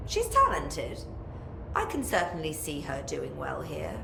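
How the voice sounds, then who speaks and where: A woman's voice: sits low, wildly swooping pitch, unhurried, gravelly, heavily acted. A woman acting as a brusque, self-assured research scientist in a video game, speaking with pompous, condescending precision. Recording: echoey room, noisy ambience behind.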